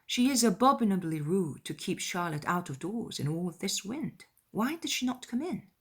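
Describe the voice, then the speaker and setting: A woman voicing a witty, opinionated young gentlewoman in a dramatized classic novel, with a dry, tart manner. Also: mid-range voice, very animated, normal speed, slightly rough. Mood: wry, scornful.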